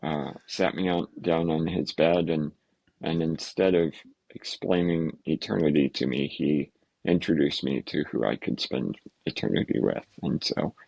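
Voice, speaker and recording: A man, a podcast guest, speaking casually and haltingly with many fillers, restarts and soft laughs. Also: very deep voice, gravelly, slightly rough, no echo, rough recording. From United States. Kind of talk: casual interview talk.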